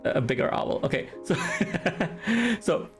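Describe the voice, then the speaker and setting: Gravelly Singaporean man streaming. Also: high voice, big pitch swings, takes it slowly, fairly bright tone, soft background music. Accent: Singaporean English. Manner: chatty, teasing, laughs often, reads chat aloud. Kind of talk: casual live-stream chat while drawing.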